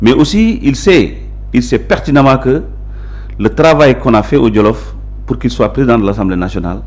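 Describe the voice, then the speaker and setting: A man with a Senegalese accent talks as an interview guest. He lays out his views firmly and at length, stressing his points. His pitch sits in the middle for a man. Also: lively intonation, a little fast, darkish tone. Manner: assertive, emphatic, explanatory. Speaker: interview guest, local politician. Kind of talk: conversational interview, mixing Wolof and French.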